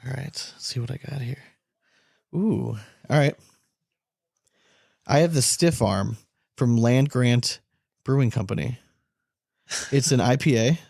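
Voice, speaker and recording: A man's voice: mid-range voice, measured pace, gravelly, pauses often, darkish tone, breathy edge, no echo, clean studio sound. An American man co-hosting a podcast with informal, sweary banter, repeating himself for emphasis. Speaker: podcast co-host. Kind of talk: casual banter between friends.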